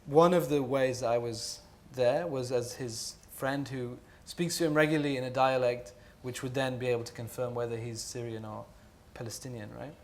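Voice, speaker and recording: A man's voice: mid-range voice, fairly even intonation, normal speed, hardly any pauses, slight background noise. A man from Jordan speaking at a panel talk, reflective and hesitant, with many fillers and restarts.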